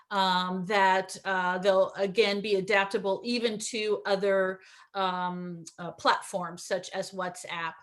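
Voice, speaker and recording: A woman's voice: medium pitch, fairly bright tone. A middle-aged woman, a university teacher trainer, giving an upbeat online training session over a video call, with frequent ums.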